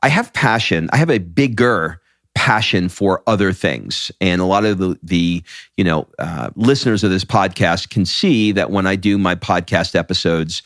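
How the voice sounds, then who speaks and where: A man with a deep voice co-hosting a podcast, telling stories with animated energy and frequent restarts. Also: normal speed, no echo, clean studio sound. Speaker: podcast co-host. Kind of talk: casual podcast chat.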